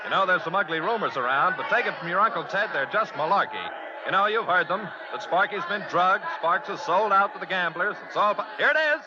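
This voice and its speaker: A man's voice: high voice, expressive, brisk. A man playing a folksy American baseball announcer in 1940s radio style, dramatic and chatty. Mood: excited. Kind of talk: 1940s radio play-by-play commentary. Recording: dull old radio recording, loud crowd noise.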